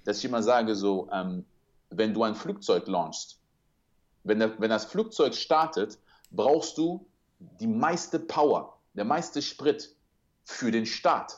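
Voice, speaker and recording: A man's voice: lowish voice, takes it slowly, highs cut off. A man whose first language is French, talking as a speaker and coach in a casual interview, earnest and motivational, building long metaphors.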